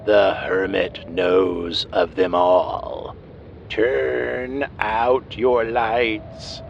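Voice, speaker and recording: Creaking, ghoulish old radio hermit. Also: male voice, fairly low pitch, little pitch movement, full character voice. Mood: gleefully menacing. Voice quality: creaky, cackling. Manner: slow, drawn-out, gleefully sinister. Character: gleefully ghoulish cackling old hermit horror host. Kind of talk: old-time radio horror acting. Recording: noisy, muffled old radio broadcast.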